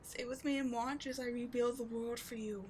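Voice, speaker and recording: A woman playing an obsessive, devoted villain in a dramatic ASMR roleplay, speaking with theatrical intensity that moves between forceful and hushed. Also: fairly high pitch, steady tone, moderate pace, slight background noise, lightly acted. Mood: desperate pleading. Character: obsessive, devoted female villain wooing a wounded sidekick.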